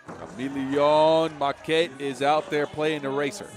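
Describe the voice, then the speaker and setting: A man with a Dutch accent giving fast live basketball play-by-play, his energy rising with each play. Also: a little high. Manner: energetic, rising with the action, self-mocking asides. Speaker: play-by-play commentator. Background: music.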